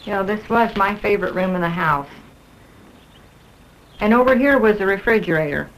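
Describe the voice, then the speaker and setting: A woman in her fifties giving personal testimony in a halting, plain-spoken way, as a homeowner showing people around. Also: medium pitch. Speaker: fire survivor, homeowner. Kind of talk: personal testimony, walking tour. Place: burned-out rooms inside a house. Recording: noisy old educational film soundtrack.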